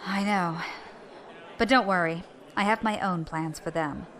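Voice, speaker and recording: A woman's voice: fairly low pitch, measured pace, fairly bright tone, rough recording. A woman playing a shrewd clan leader in a science fiction audio drama, with dry, casual authority. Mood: wry, guarded. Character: shrewd, wry, confident female clan leader.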